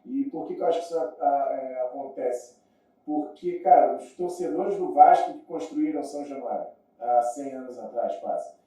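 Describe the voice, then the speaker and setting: A man's voice: mid-range voice, moderate pace, warm tone. A man with a Rio de Janeiro Brazilian accent chatting casually and telling stories in informal, slangy speech. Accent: Rio de Janeiro Brazilian Portuguese. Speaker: podcast guest. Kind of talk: casual chat, storytelling. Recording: muffled, very echoey room, distant mic.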